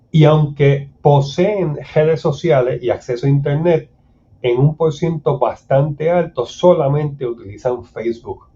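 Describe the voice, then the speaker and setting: A man with a Puerto Rican accent gives a formal, measured lecture as a mediator speaking at a seminar. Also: mid-range voice, mellow. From Puerto Rico. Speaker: conflict mediator giving a seminar talk. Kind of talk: prepared lecture for a recording. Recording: dull, muffled lo-fi recording.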